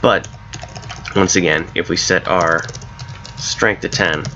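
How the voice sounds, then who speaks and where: A hoarse man casually talking through his own game mod as he plays, rambling and correcting himself as he goes. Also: low voice, fairly even intonation, slow, lo-fi sound, noisy background. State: hoarse from a cold. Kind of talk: casual gameplay commentary.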